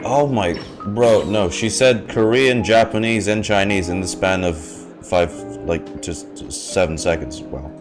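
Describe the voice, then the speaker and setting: Female streamer, deep-voiced, offhand and cheeky commentary with game music underneath. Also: measured pace, hardly any pauses. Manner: informal, irreverent. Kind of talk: casual reaction commentary.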